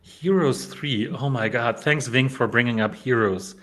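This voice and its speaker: A man's voice: mid-range voice, measured pace, a bit bright. Rambling Polish-born man on a live stream. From Poland. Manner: rambling, many fillers and restarts. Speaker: game developer streamer. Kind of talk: casual live stream chat.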